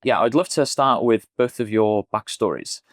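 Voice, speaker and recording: A man's voice: on the low side, lively intonation, moderate pace, no room sound, studio quality. A man hosting an interview, friendly, with many fillers and restarts. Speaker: host. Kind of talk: interview host.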